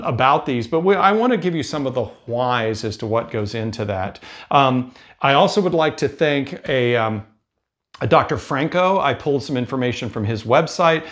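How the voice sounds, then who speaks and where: A man in his fifties with an American accent, talking casually and explaining things as a video host. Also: mid-range voice. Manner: conversational, explanatory. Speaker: cruise advice video host. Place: indoor room with bookshelves. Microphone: lapel mic.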